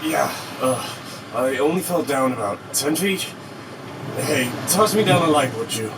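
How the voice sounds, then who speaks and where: A man acting an adventurous, persuasive workman in an audio drama. The voice is mid-pitched and a little distant from the mic, over a faint machinery hum. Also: expressive, unhurried. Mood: dazed, curious. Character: adventurous, persuasive utility worker exploring a tunnel.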